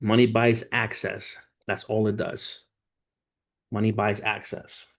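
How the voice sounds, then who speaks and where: Male livestream host, thirties, lowish voice, loose slangy delivery, profane, casual storyteller speaking straight to his followers. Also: level tone, takes it slowly, gravelly. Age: 30s. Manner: informal, street slang, profane, addresses viewers as family. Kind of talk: casual livestream chat, storytelling and motivational talk.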